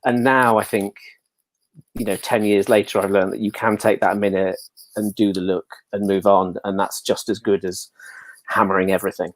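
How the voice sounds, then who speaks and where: English male, low register, dry sound. Relaxed anecdotal interview guest, jokey and self-mocking. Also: moderate pace, no echo, poor audio. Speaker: interview guest, pantomime dame performer. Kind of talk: casual chat, anecdotal.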